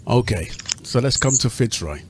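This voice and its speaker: A man's voice: mid-range voice, animated, normal speed, a little hiss or hum. A man hosting a talk show, politely moderating a panel and directing speakers in turn.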